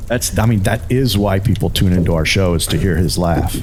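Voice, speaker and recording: A man with a fairly low voice who hosts a podcast and keeps up teasing, joking banter with his guest. There is faint noise in the background. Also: brisk, darkish tone. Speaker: podcast host. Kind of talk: casual podcast chat.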